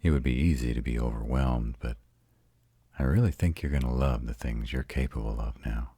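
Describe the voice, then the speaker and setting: A man voicing a devoted, self-assured male vampire who patiently guides a newly turned mate in a scripted roleplay audio, speaking in a soothing, intimate, deliberate way. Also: warm tone, no echo. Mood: gentle, reassuring. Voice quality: very deep, husky.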